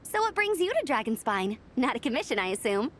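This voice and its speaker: A chatty young knight. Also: female voice, on the high side, sing-song, a little fast, a bit bright, a little hiss or hum. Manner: chatty and upbeat. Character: friendly young female knight organizer. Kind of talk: game voice acting.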